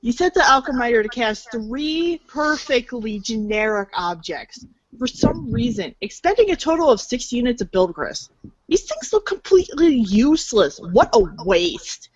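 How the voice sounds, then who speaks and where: A woman's voice: a little high, sing-song, normal speed, slightly rough, no room sound, lo-fi sound. A woman narrating a scripted read-along storybook in an informal, playful way, stumbling over words now and then.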